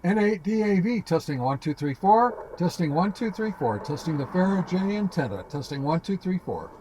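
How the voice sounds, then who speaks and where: Casual man reviewing gear, halting delivery. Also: sits high, animated, background noise. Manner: halting, informal, conversational. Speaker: ham radio reviewer and vlog host. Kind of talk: casual product review and field test.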